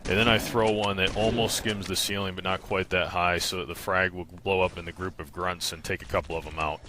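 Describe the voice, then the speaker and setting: A man's voice: deep voice, sharp tone, a little hiss or hum. A man narrating a video game walkthrough, explaining casually and matter-of-factly, step by step. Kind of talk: casual instructional game commentary. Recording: game music behind the voice. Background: game music.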